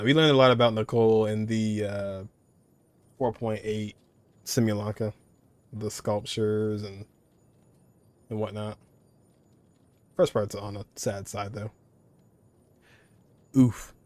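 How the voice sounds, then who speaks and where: A man's voice: on the low side, fairly even intonation, takes it slowly, long pauses, darkish tone. A man streaming a video game, giving casual, offhand commentary in short reactions and musings.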